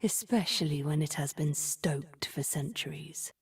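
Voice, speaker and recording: An actress voicing a cunning, imperious sorceress in a video game, cold and vengeful, with a breathy, measured, haughty delivery. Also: female voice, low-pitched, lively intonation, heavily acted. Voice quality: breathy, often near a whisper. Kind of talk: video game character voice lines.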